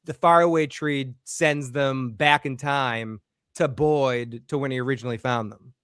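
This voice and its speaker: A man with a Boston accent hosting a casual call-in show, talking in a quick, chatty way and swearing now and then. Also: mid-range voice, fairly even intonation, fairly bright tone, clear, resonant tone, no room sound, studio quality. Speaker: host taking calls.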